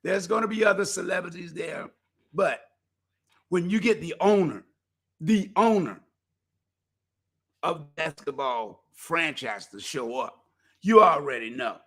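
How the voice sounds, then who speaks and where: A man's voice: fairly high pitch, unhurried, long pauses, breathy edge. A man hosting a community talk show alone, talking in a folksy, preacherly way and losing his voice. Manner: folksy, preacherly, animated exhortation. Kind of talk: casual solo talk show promotion.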